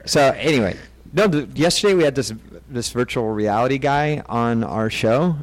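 A man's voice: medium pitch, animated, moderate pace, dry recording. Wisecracking co-host man from Boston. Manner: teasing, wisecracking. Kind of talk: casual banter.

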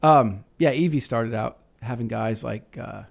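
A man hosts a loose, casual interview with a creaky, gravelly voice. He teases and jokes crudely as he steers the chat. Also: medium pitch, measured pace. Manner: crude, teasing, jokey. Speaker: show host. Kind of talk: casual joking interview banter.

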